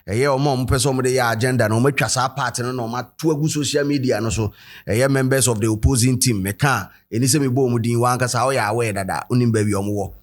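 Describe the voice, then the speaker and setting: A man's voice: medium pitch, fairly even intonation, quick pace. A man with a Ghanaian accent hosting a casual editorial show, talking loosely with many fillers and switching between English and Twi. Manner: chatty, loose, full of fillers and code-switching. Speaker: host and commentator.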